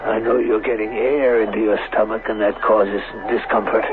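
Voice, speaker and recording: An actor playing a proud, obsessive middle-aged scientist in a radio drama, speaking in a deliberate, lecturing and coolly clinical manner. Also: male voice, on the low side, level tone, close to an everyday voice. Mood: proud, instructive. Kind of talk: 1970s radio drama acting. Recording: muffled, hissy old radio broadcast.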